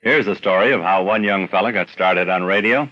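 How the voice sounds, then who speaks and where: A man's voice: low-pitched, brisk, pushed and bright. An American man reading scripted radio documentary narration as the narrator. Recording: dull vintage radio recording.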